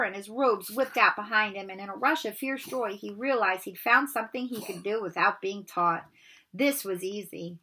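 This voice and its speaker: A woman in her forties reading a children's novel aloud in an expressive storytelling style. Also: fairly high pitch, breathy edge, poor audio. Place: bedroom at home, indoors.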